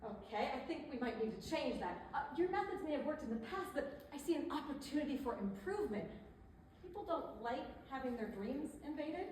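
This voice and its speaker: Actress as pushy female image consultant, high voice, chatty, tactful, persuasive, stage comedy, very echoey distant recording. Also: big pitch swings, a little fast, darkish tone. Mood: coaxing. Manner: conversational, hesitant asides, upbeat persuasion. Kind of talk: theatrical stage comedy acting. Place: indoor theater stage. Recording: echoey theater, distant mic, faint noise.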